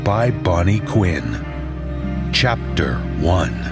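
An actor playing a welcoming promotional announcer with a sinister undertone, measured and theatrical, introducing a horror audio drama. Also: male voice, deep voice, big pitch swings, over loud music, heavily acted. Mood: ominous.

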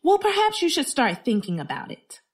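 A woman's voice: high-pitched, huge pitch swings, normal speed. A woman playing a blunt, sardonic but protective bookstore worker in a radio drama. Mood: strained politeness.

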